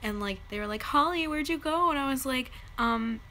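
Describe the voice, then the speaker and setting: A woman's voice: sits high, expressive, moderate pace, pushed and bright, slight background noise. A young woman with a head cold and a stuffed-up nose, rambling casually through a story with lots of fillers. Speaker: vlogger.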